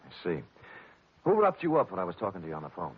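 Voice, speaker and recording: An actor playing a wry, tough private detective, delivering clipped, deadpan lines in 1940s radio noir style. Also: male voice, low voice, quick pace, slightly rough, heavily acted. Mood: cool, firm. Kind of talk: 1940s radio noir acting, first-person narration. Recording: tinny old radio broadcast.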